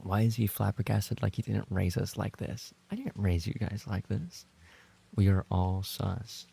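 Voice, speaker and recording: A man's voice: lowish voice, measured pace, gravelly, mellow, husky. A man livestreaming and chatting casually with his viewers, bantering in a playful, mock-indignant way. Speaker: live streamer and voice actor.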